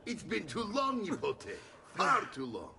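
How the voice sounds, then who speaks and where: An actor playing a gruff, hearty older uncle and warrior in a video game. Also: male voice, sits high, huge pitch swings, unhurried, noisy background, exaggerated voice. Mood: warm.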